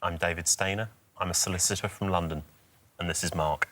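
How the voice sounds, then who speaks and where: Male quiz contestant, very low voice, halting, mild-mannered. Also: fairly even intonation, a bit bright. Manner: hesitant, polite, thinking aloud. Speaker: quiz team captain. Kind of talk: casual conversation and quiz answering. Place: television studio.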